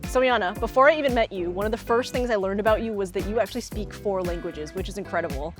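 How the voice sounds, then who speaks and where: A woman hosting a sports interview show outdoors, asking questions in a bubbly, energetic presenter manner. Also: mid-range voice, quick pace, over music. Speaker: show host. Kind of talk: upbeat hosted interview. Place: outdoors on a hiking trail.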